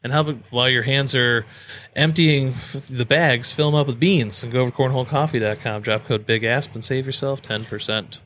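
A man's voice: mid-range voice, steady tone, a little fast, fairly bright tone. Casual American man, noisy recording. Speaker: podcast co-host. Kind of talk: casual joking banter.

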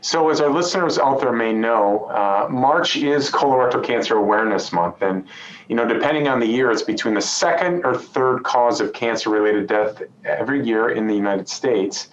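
Host casting: middle-aged man, chatty and friendly, recorded in a roomy space with dull audio and faint ambience. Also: medium pitch. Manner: friendly, conversational, uses "you know" and quick asides. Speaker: podcast host, surgeon. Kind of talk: hosting a medical podcast interview.

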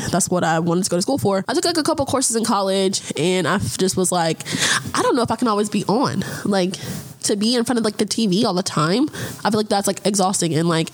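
A woman's voice: medium pitch, slightly rough. A young woman from Atlanta, chatting loosely on a podcast and laughing often. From Atlanta, Georgia. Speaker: guest. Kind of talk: casual podcast chat.

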